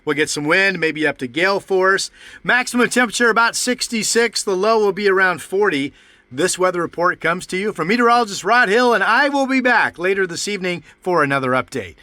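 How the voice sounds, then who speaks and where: A businesslike male weather announcer reads in polished radio drama style. His voice is high and bright, captured very dry. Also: animated, brisk, no room sound. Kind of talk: scripted old-time radio announcing.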